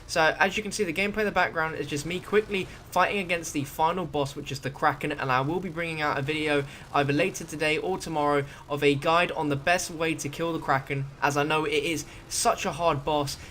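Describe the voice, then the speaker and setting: A man's voice: on the high side, a bit bright, a little hiss or hum. A man speaking casually as the host of a gaming video, giving commentary for viewers.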